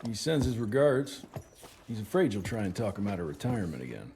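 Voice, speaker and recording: An actor playing a tough, blunt younger cowboy vampire hunter in a video game, casual and sarcastic. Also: male voice, lowish voice, big pitch swings, fairly quick, background noise. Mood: defensive, blunt.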